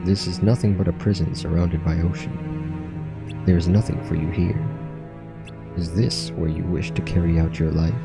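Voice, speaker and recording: Man putting on a low, cryptic old guide. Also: fairly even intonation, moderate pace, creaky, loud music behind the voice. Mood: solemn, cryptic. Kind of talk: reading game dialogue aloud.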